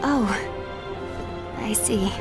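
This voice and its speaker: High-voiced, dutiful, formal disciple. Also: female voice, drawn-out words, over loud music. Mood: hesitant, uneasy. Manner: formal, composed, careful. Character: dutiful, earnest female observer loyal to her master. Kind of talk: animated video game cutscene acting.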